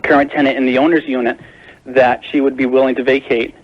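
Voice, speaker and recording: A man's voice: mid-range voice, little pitch movement, fast. A man calling a radio show, explaining his problem in a careful, wordy, hesitant conversational way. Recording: dull lo-fi call on radio tape.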